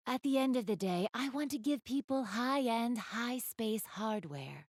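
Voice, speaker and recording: A woman's voice: medium pitch, a little mellow, no echo, clean studio sound. A woman playing an elegant, polite young goddess in a video game, speaking to the listener in formal, ladylike phrases. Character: elegant, refined young goddess with gamer enthusiasm. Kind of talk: video game character dialogue, addressing the listener.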